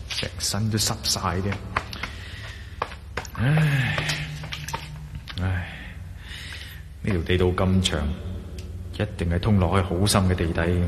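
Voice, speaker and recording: A man's voice: on the low side, close to an everyday voice. A man with a Hong Kong Cantonese accent playing a wary, curious adventurer in a radio drama, theatrically thinking aloud to himself. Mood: startled, weary, then grim, cautious. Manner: theatrical, exclaiming and musing to himself. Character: wary, curious male adventurer exploring a dark ancient temple. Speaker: radio drama lead actor. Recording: echoey long reverb, clearly noisy.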